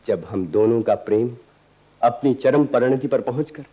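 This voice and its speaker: A man's voice: mid-range voice, normal speed, warm tone, lightly acted. An actor playing an earnest, idealistic young man in a radio play, speaking in lofty, poetic, declamatory lines. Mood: idealistic, pleading. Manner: lofty, declamatory, literary diction. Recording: dull archival radio recording.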